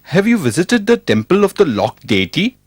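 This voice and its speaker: An eager, reverent cartoon courtier, formal as a herald. The voice is fairly high and very animated, with faint music behind it. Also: male voice, moderate pace. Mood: reverent, persuasive. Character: eager, reverent courtier reporting to his emperor. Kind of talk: animated moral story dialogue.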